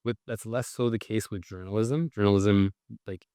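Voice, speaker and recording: Man, low voice, podcast guest, reflective, many fillers, dry close mic. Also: fairly even intonation, moderate pace, warm tone, smooth, no echo. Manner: thoughtful, with many filler "like"s and restarts. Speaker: guest, writer and journalist. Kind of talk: conversational interview answers.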